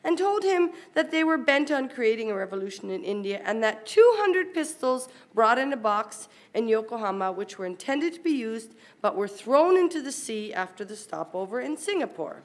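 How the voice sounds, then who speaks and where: A woman speaks as a conference presenter, reading a formal paper aloud. Her voice sits a little high, and she moves through long sentences in a measured, carefully articulated way. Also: big pitch swings. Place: university conference talk.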